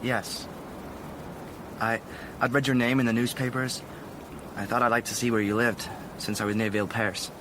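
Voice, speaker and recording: A man's voice: mid-range voice, little pitch movement, normal speed, long pauses, natural voice. An actor with an American accent playing a guarded, polite, awkward teenage boy in a radio drama, hesitant and deferential. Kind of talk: naturalistic radio drama dialogue. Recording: clearly noisy background ambience.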